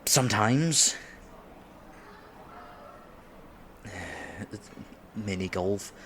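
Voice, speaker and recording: Guy doing a nerdy nervous date in an audio rp, lowish voice but super animated, stammery and self-deprecating. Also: extremely slow, slight background noise, heavily acted. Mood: nervous, flustered. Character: geeky, awkward silver fox man on blind date. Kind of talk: roleplay audio drama acting.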